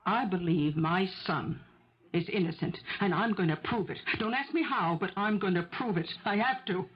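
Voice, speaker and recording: Dull old radio drama recording, actress doing a husky middle-aged mom, insistent, pleading and bitter about her son. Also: mid-range voice, normal speed, close to an everyday voice. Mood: resolute. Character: desperate, stubborn, poor mother defending her accused son. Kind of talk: 1970s radio drama acting.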